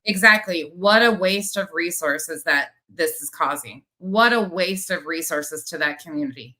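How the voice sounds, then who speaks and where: A woman's voice: fairly low pitch, normal speed, sharp tone, low-quality recording. A woman host giving exasperated, critical live commentary, with some swearing. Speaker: host of a true crime commentary show. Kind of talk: live commentary on played clips.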